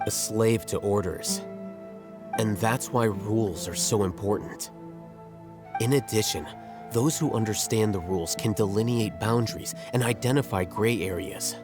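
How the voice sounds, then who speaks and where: A man playing an aloof, calculating young scholar in a video game, speaking in a measured, detached, lecturing way. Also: fairly low pitch, natural voice. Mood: cool, lecturing. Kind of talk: video game cutscene voice acting. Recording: music clearly behind the voice.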